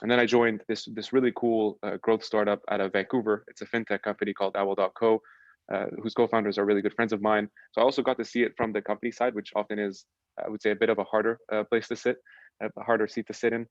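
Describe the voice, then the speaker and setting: A young man talks fast and fairly flat in a low voice, chatty and relaxed, restarting his sentences often. The dry, dull sound of a video call carries him. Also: no echo. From Lebanon. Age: young adult. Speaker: podcast guest. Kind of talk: casual chat.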